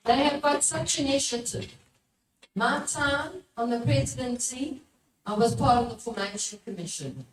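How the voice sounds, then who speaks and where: A woman's voice: high voice, moderate pace. A woman presenting a workshop talk to a live audience, urging listeners on and putting questions to them. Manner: exhortatory, puts questions to the listeners. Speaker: workshop presenter, religious formator. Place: echoey room at a live workshop. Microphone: picked up from a distance. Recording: echoey room, distant mic, background noise.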